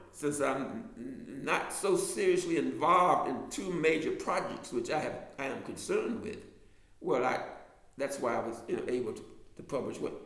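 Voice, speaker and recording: A man's voice: medium pitch, vocal fry, a bit bright, reverberant, low-quality recording. An older man from the US South with a mild Southern American accent, an author and lecturer talking to a class in a rambling way, full of restarts and fillers. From Alabama, US South. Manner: digressive, many restarts and fillers.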